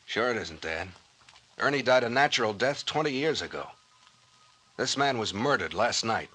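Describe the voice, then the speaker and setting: An actor with an American accent playing a cool, commanding captain in an old-time radio mystery serial, matter-of-fact in manner. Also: male voice, medium pitch, lively intonation, normal speed, sharp tone. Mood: grim, matter-of-fact. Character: cool, commanding male police-style captain, the mayor's son. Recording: faintly noisy old-time radio recording.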